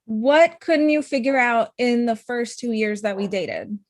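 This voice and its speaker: A woman's voice: on the high side, a little slow, dry recording, studio quality, close to an everyday voice. A young woman acting a blunt, hurt, confrontational ex-girlfriend in her thirties in a comedy-drama table read. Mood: hurt, indignant. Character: Amita, blunt, hurt ex-girlfriend, thirty-five, sharp-tongued lawyer.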